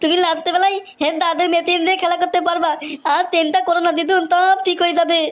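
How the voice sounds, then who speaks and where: A woman voicing a crude, excitable young village son in a comic cartoon, melodramatic and pleading. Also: very high voice, fast. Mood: soothing. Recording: music behind the voice.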